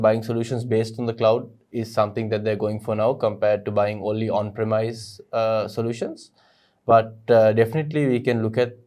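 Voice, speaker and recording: A man who works in sales, speaking on a roundtable panel in a measured way as he sums up points. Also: fairly low pitch, mellow. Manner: measured, summarizing. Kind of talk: roundtable discussion.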